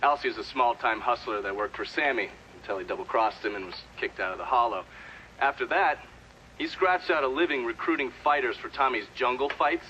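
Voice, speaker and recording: An actor playing a shrewd, sardonic intelligence operative in a video game, dry and matter-of-fact. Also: male voice, medium pitch, fairly quick, bright, forceful tone, lo-fi sound, slight background noise, close to an everyday voice. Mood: matter-of-fact recounting. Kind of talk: cinematic game dialogue, part recounted testimony.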